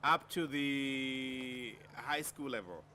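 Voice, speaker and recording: A man's voice: mid-range voice, slow pace, sharp tone, a little hiss or hum. A man hosting an interview program, speaking emphatically and echoing the answers he is given. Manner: emphatic, repeats the guest's answers. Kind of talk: on-air interview.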